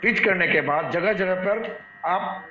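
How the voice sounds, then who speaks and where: Brief: male debate guest, Bihari accent, higher-pitched, halting and repetitive, on a roomy online call. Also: bright, forceful tone, soft background music. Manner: halting, repetitive, searching for words. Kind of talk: extempore discussion of local issues. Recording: echoey muffled online call, background crowd murmur.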